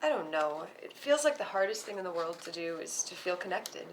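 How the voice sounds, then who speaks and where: A woman's voice: lowish voice, big pitch swings, brisk, slight background noise, low-quality recording. A woman acting a restless, teasing and reckless friend in a conversational film script read, recorded live in a room. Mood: restless, earnest.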